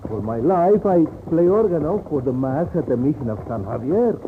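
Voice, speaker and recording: A man's voice: fairly high pitch, animated, moderate pace, lightly acted. Radio western role: male actor as breezy Mexican gambler, flowery and self-regarding, on a hissy narrow-band recording. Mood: breezy, sly. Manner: talks of himself in third person, flowery. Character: cheerful, mercenary Mexican gambler and rogue. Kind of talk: old-time radio western acting.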